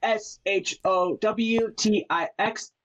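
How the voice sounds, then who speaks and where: A young man talks casually with a dry, deadpan manner. The recording is a little dull and muffled, as on a video call. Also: high voice, slow. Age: young adult. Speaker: student director and guest. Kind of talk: casual chat. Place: indoor room at home, video call.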